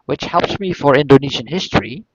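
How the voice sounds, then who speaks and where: A man's voice: medium pitch, animated, brisk, no echo. A man from northern Belgium with a Flemish accent and native Flemish Dutch, answering seminar questions as an academic, hesitantly, repeating words and posing rhetorical questions. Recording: dull lo-fi audio.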